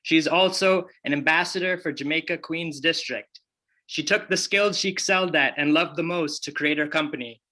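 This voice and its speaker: A man's voice: on the high side, moderate pace, pushed and bright. A man hosting a casual interview podcast, friendly, stumbling a little with fillers as he asks questions. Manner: friendly, with many fillers and restarts when asking. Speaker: podcast host.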